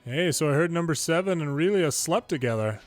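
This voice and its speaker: A man reading story dialogue aloud from a video game in a playful way, switching pitch between characters and adding his own commentary. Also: lively intonation, a little fast. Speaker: longplay host reading a game's dialogue aloud. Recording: music behind the voice. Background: game music.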